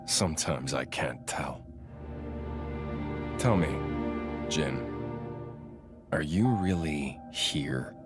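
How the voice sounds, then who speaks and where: An actor playing a weary, brooding warrior who doubts who he is, murmuring in halting musing for a video game cutscene. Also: a bass voice, animated, exaggerated voice. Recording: loud music under the voice.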